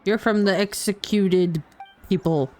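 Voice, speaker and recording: A woman's voice: mid-range voice, unhurried, warm tone. A woman streamer giving live gameplay commentary, playful and irreverent, blurting out her reactions. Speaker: VTuber game streamer. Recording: faint noise in livestream audio.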